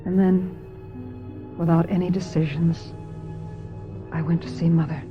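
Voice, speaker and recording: A woman's voice: on the low side, fairly even intonation, measured pace, lightly acted. A woman playing a naive, weary young actress who tells her troubled story earnestly in a radio mystery drama. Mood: wistful, reflective. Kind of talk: dramatic radio mystery acting with first-person narration. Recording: dull lo-fi radio drama, music behind.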